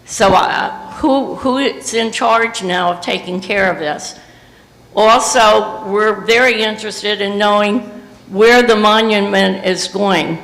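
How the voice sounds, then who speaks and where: An older man speaking as a resident at a board workshop's public comment, halting with many fillers as he reads through dates and minutes into a handheld microphone in a meeting hall. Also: sits high, steady tone, gravelly, fairly bright tone. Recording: echoey hall, long reverb.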